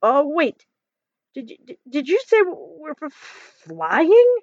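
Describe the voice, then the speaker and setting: A woman acts out a young storybook frog, male, whiny and anxious, given to grand theatrical delivery. He pitches up above a plain talking voice, and the recording is dry and a little dull. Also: big pitch swings, measured pace, no room sound. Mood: panicked, whining. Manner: whiny, theatrical, stuttering when scared.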